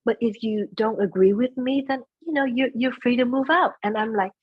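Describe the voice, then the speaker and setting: A soft-spoken middle-aged woman speaking as a guest in an interview, chatting about family, sometimes slipping into Vietnamese phrases. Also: a little high, brisk, slightly rough, muffled recording. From United States. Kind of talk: conversational interview.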